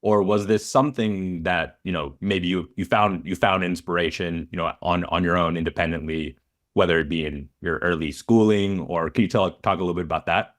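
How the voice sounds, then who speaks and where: Low-voiced man hosting a podcast. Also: fairly even intonation, a little fast, fairly bright tone. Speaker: podcast host and interviewer. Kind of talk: casual podcast interview with scripted intro.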